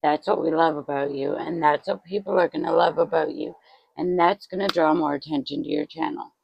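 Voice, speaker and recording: Gravelly low-voiced woman in her forties. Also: expressive, brisk, a little mellow, no room sound, dull audio. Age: 40s. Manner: self-mocking, swearing, laughing at herself. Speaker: vlogger. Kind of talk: casual vlog chat. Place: at home.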